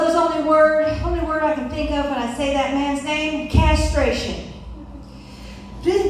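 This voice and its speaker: A woman's voice: high-pitched, a little mellow. A middle-aged woman doing a brash, profane, opinionated stand-up routine on a small club stage with a handheld mic. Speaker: stand-up comedian. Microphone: handheld stage mic, recorded from the audience. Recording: very echoey room, distant mic, faint noise.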